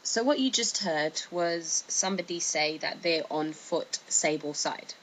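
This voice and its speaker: A woman narrates in a low-pitched voice. Her commentary is informal and explanatory, with a hesitant, tentative delivery. There is faint noise behind her. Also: a little slow, fairly bright tone, low-quality recording. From United Kingdom. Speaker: video narrator.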